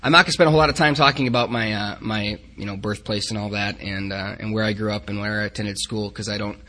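Upper Midwest man, bright and punchy tone, candid self-deprecating recovery talk. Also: on the low side, fairly even intonation, fast talker. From Upper Midwest of the United States. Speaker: speaker at a recovery group meeting. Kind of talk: personal recovery talk to a group.

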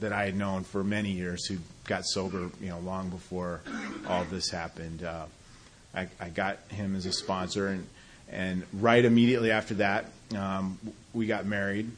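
A man sharing his own recovery story in a halting, self-deprecating way, often restarting sentences and laughing at himself. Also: low-pitched, little pitch movement. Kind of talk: personal testimony talk.

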